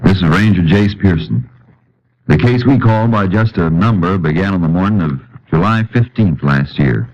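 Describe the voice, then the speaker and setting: A man playing a steady Texas Ranger who narrates his case for an old radio crime drama. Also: deep voice, normal speed, a little hiss or hum. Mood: matter-of-fact. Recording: dull old radio recording.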